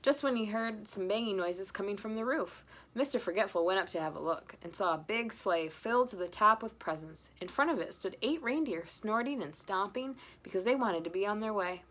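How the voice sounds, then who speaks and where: A woman reads a storybook for children at an ordinary mid pitch. Her delivery is animated and expressive, shaped for young listeners following along. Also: fairly quick, hardly any pauses. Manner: animated, with interjected asides to listeners. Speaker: storybook reader. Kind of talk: expressive children's read-aloud narration.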